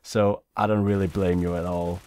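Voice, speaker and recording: Young man, Danish first language, low and smooth, dry close mic; laid-back casual commentary. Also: flat delivery, moderate pace, darkish tone, no room sound. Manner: laid-back, chatty, says "man" and "you know". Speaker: YouTuber. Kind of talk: casual commentary over gameplay.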